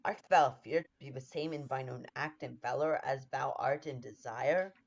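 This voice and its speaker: A woman playing a ruthless, scheming noble lady who drives her husband on, in 1940s radio-play style, with urgent forceful delivery. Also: rumbling low, normal speed. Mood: scornful. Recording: dull lo-fi sound.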